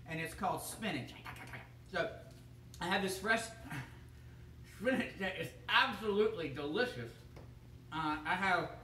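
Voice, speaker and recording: A man plays a goofy, bumbling male TV chef who rambles and chuckles as he fumbles through a kitchen demo. The voice is bright and sharp, picked up from across an echoey kitchen. A faint room hum sits behind it. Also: sits high. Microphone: camera across the room. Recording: echoey room, mic from far off.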